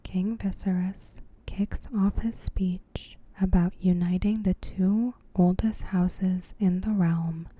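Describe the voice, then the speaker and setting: A woman narrating an ASMR recap in a soft, breathy whisper, gentle and measured. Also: lowish voice, low-quality recording.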